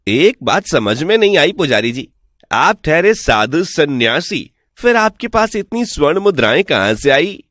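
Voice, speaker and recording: Sly village con man acted by a man, slightly high voice, dry close sound, persuasive, mock-polite, cartoon folk tale. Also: sing-song, unhurried, a bit bright, no echo, full character voice. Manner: persuasive, boastful, mock-respectful. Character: sly smooth-talking male village swindler posing as returned from heaven. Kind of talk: animated Hindi folk tale voice acting.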